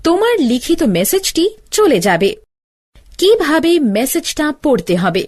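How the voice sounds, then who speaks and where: A woman's voice: high-pitched, big pitch swings, measured pace, a little mellow. A woman playing an older-sister teacher, giving step-by-step instructions in a scripted cartoon tutorial. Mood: instructive. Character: older-sister teacher explaining gadgets to a child.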